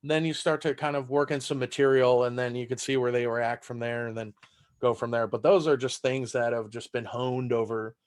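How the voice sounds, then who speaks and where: A man's voice: medium pitch, little pitch movement, fairly quick, clean tone. Man, thirties, from texas, german first language, loose rambling podcast talk, casual swearing. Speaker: stand-up comedian guest.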